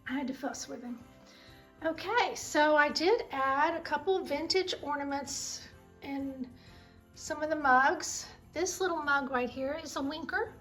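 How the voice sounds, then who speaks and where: A middle-aged woman with a voice made raspy by a sinus cold, chatting fondly and rambling as she shows her collection in her home kitchen. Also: high-pitched, unhurried, a bit bright, soft background music. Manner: chatty, fond, meandering. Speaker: vlog host. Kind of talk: casual home tour chat.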